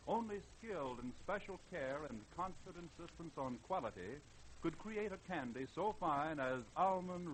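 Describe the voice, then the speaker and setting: A man's voice: a little high, a little hiss or hum, rough recording. A man announcing a show and its sponsor in a 1940s radio advertising style.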